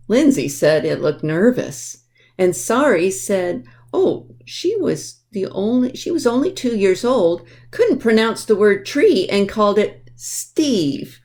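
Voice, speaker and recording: A woman's voice: medium pitch, a little mellow. A woman presenting a children's library storytime, explaining things simply and addressing young listeners directly as she reads aloud. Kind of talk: reading a picture book aloud to children.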